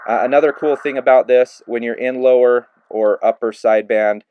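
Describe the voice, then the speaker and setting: A man giving a relaxed, casual hands-on review of a handheld radio, narrating each step with plenty of fillers as he works the controls. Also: medium pitch, moderate pace, lo-fi sound, a little hiss or hum. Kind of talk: casual hands-on product demo.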